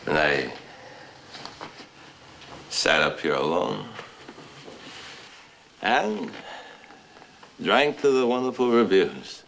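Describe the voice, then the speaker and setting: A man's voice: low voice, drawn-out words, gravelly, pauses often, full character voice. An actor playing a self-mocking, restless writer who savors his words theatrically in a film drama. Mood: rueful. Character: self-mocking, restless male writer, drunkenly celebrating alone. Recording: steady background noise.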